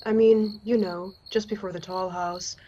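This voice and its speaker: A laconic attendant out in lonely country, played by a woman for a radio play. The talk is folksy and unhurried, at a middling pitch, on a slightly dull recording. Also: fairly even intonation, mellow, slight background noise, natural voice. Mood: laconic, musing. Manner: folksy, unhurried, plain-spoken. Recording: slightly muffled online recording.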